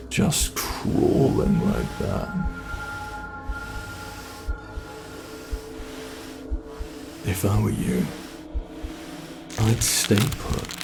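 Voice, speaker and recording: A man with a British accent voicing a sadistic monster that hides under the bed, in an ASMR horror roleplay, talking slowly and slipping into menacing whispers. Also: fairly low pitch, vocal fry, noisy background, heavily acted. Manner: slow, gloating, menacing, drops into whispers. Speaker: voice actor.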